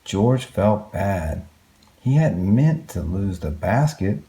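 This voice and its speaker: A man's voice: on the low side, very animated, slow, dark tone. A man reading a picture book aloud to young children, expressively, with exclamations and questions to his listeners. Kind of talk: read-aloud picture book narration with asides.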